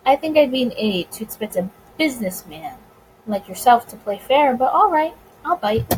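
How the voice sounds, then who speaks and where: Woman reading as a wry, animated detective. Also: a little high, normal speed, a little hiss or hum, lightly acted, poor audio. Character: wary, wry male police detective, smitten with a gangster.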